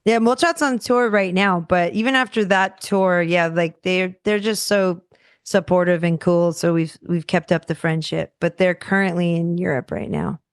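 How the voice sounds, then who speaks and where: Female musician guest, smooth mid-pitched voice, easygoing chat, dry sound. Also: steady tone, no room sound. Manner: quick, easygoing, laughs between remarks. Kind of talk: casual chat.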